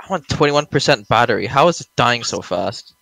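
A man's voice: mid-range voice, lively intonation, a little fast, vocal fry, a bit bright, no echo, rough recording. A man teasing and joking with his teammate while spectating a game, laughing often. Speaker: gamer teammate. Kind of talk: casual gameplay chat. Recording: dry close sound with game music behind.

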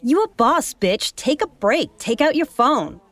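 Pushy diva type, bossy and full of herself, highish voice that swoops around, comedy audio drama. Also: female voice, sing-song, measured pace, no echo, full character voice. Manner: bossy, self-important. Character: brash, pushy, self-styled diva woman aspiring author.

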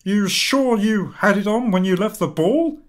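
A man's voice: high voice, measured pace, pitched above the performer's own voice. A man voicing a bewildered, fussing husband, a modest clerk, in an urgent, questioning way for a story reading. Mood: anxious, probing.

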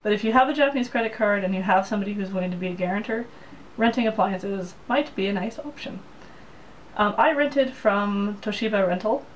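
A woman's voice: mid-range voice, a little fast. A young woman vlogs from a small apartment room, laying things out in a plain, practical way. Her voice sits in an ordinary middle register. The camera mic is a little distant, and a faint hiss runs underneath. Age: young adult. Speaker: vlogger. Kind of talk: casual talk to camera. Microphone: camera mic, head-and-shoulders framing.

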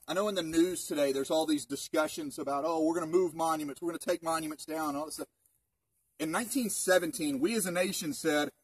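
A man's voice: a little high, slightly rough. A man in his thirties, a park ranger, gives an informal history talk to a group outdoors, conversational and full of rhetorical questions, picked up by a camera a few metres away. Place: outdoors in open woods. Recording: distant outdoor mic.

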